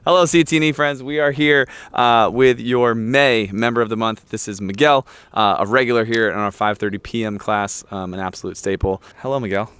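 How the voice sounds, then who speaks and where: A man's voice: mid-range voice, steady tone, brisk, few pauses, a bit bright, clean tone, no room sound. A man who coaches at a gym, interviewing a member in an upbeat, chatty way. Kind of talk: casual interview.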